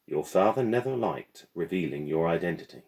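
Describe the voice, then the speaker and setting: A man's voice: sits low, lively intonation, brisk, a little mellow. A man voicing a haughty, strict French woman guardian in a storybook, imperious and disapproving. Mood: stern. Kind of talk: storybook character voice.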